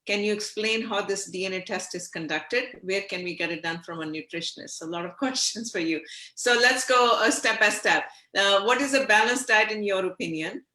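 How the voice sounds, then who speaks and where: A woman hosts an interview over a video call, reading out viewer questions with hesitant fillers. Her voice is bright and forceful, and it carries a noticeable room echo. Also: medium pitch, normal speed. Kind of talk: interview hosting. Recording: echoey room.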